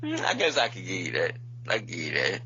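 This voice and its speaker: A man's voice: low-pitched, huge pitch swings, quick pace, bright, forceful tone. A man co-hosting a casual music podcast, talking in a rambling, slangy, profane way. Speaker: podcast co-host. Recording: muffled lo-fi podcast audio with hum.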